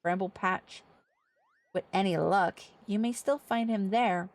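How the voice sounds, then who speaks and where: A woman's voice: medium pitch, measured pace, pitched below the performer's own voice, natural voice. A woman voicing a worried, exasperated older sister in a fantasy game, reading the dialogue with formal, old-fashioned phrasing. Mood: worried, pleading. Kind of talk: reading game quest dialogue aloud in character.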